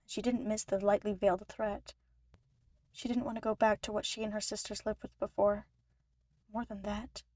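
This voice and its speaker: A woman's voice: mid-range voice, darkish tone, dry recording. A woman reading scripted fiction aloud as a narrator.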